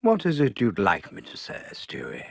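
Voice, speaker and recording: An actor playing a patient older male psychologist with a British accent and a measured, formal manner. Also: medium pitch, huge pitch swings, gravelly, slight background noise. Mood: patient, probing. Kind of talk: animated sitcom dialogue.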